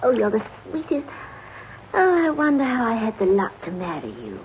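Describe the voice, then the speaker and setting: Creaky-voiced trusting wife, old radio. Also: female voice, on the high side, wildly swooping pitch, normal speed. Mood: adoring. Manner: sweet, plaintive, affectionate. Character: trusting, adoring, fragile wife. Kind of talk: 1950s radio drama acting. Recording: dull, faintly noisy old radio recording.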